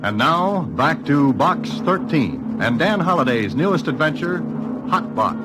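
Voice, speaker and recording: A man's voice: fairly high pitch, big pitch swings, a little slow, low-quality recording. A man announcing a radio drama in a theatrical 1940s style. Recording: old radio recording, loud music.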